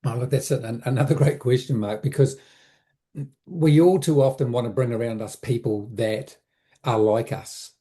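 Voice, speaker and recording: A man answering interview questions as an advisor, reflective and halting, often repeating words as he thinks aloud. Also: mid-range voice, dark tone. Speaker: interview guest, business advisor. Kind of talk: conversational explanation in an interview.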